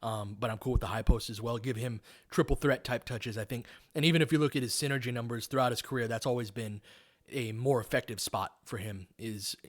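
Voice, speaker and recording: A man's voice: mid-range voice, fairly even intonation, a little fast. A man calling live basketball play-by-play in a casual, conversational, analytical way. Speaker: play-by-play host.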